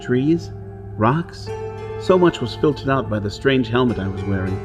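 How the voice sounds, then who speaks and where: A man acts out a desperate Martian outlaw in a pulp radio drama. He tells his story in the first person with theatrical intensity, his voice at a middling pitch. Dramatic music plays strongly behind him. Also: expressive, moderate pace, close to an everyday voice. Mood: brooding, anguished. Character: desperate outlaw hero on Mars recounting his ordeal. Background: dramatic music and soundscape.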